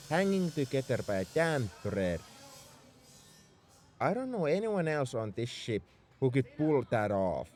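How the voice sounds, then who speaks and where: A man's voice: medium pitch, darkish tone. A man playing a tsundere mech engineer in a roleplay audio drama, scolding and sarcastic with worry underneath. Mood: sarcastic, incredulous. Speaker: voice actor.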